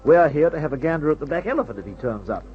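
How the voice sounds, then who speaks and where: A man's voice: on the high side, lively intonation, rapid-fire, a little hiss or hum, close to an everyday voice. An actor playing a jittery but breezy upper-class English airman in an old radio adventure serial, spluttering jaunty slang. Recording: dull lo-fi old radio recording.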